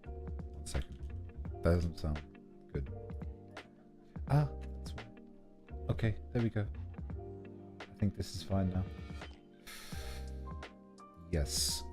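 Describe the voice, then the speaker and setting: A man's voice: fairly low pitch, lively intonation, slow, long pauses. A man chatting casually while livestreaming a game, his voice scratchy, rambling and correcting himself as he goes. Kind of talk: casual gameplay stream chat. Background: faint game music.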